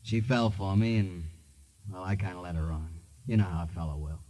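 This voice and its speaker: An American actor playing a nervous, evasive man in his thirties in a 1950s radio drama, halting and defensive. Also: low-pitched, warm tone, lightly acted. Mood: evasive, sheepish. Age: 30s.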